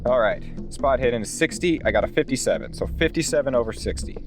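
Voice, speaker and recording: A man plays a tabletop role-playing game in casual chat. His voice sits at a medium pitch and swings expressively up and down. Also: lively intonation, fast, music in the background.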